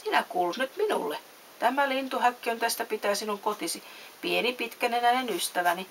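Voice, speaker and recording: A woman's voice: a little high, animated, far from the mic, voice pushed up. A woman voicing a storybook villain, a domineering male puppet master with a taunting, commanding manner. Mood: menacing. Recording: faint background hiss.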